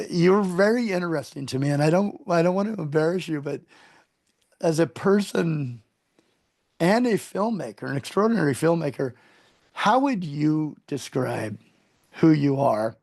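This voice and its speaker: A male interview host with a gravelly, creaky voice talks with effusive, gushing enthusiasm, often restarting his phrases. He is recorded dry and close, with no room around him. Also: on the high side, expressive, a little slow, long pauses, a little mellow, dry recording. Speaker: show host.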